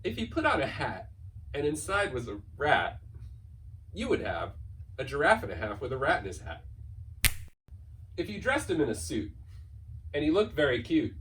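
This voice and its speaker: A man's voice: on the high side, huge pitch swings, normal speed, pauses often, fairly bright tone, far from the mic, slight background noise, low-quality recording. A man giving a playful, theatrical read-aloud of a children's picture book, stretching key words and racing through piled-up lists. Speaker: school staff member reading a children's book aloud.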